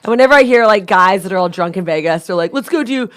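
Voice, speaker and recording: A woman comedian co-hosting a podcast, telling rambling, animated stories in casual chat and laughing at her own jokes. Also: mid-range voice, fast talker. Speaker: stand-up comedian, podcast co-host.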